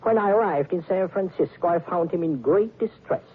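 An actor playing an older, verbose, self-satisfied psychoanalyst in a theatrical, pedantic style of old-time radio drama. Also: male voice, high voice, normal speed, slight background noise, lightly acted. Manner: theatrical, rambling, pedantic, chuckling. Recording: muffled, lo-fi vintage radio broadcast.

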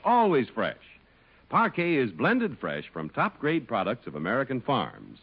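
Highly animated vintage radio announcer, male. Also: husky. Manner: emphatic, polished sales delivery. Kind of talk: mid-century radio sponsor announcing and scripted narration. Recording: dull vintage radio broadcast recording.

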